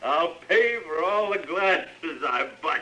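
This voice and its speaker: A man's voice: a little high, expressive, takes it slowly. A man playing a drunken, bullying cowboy villain with forced joviality and an American accent in a 1950s radio western. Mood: boozy, leering. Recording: vintage radio recording, faint noise.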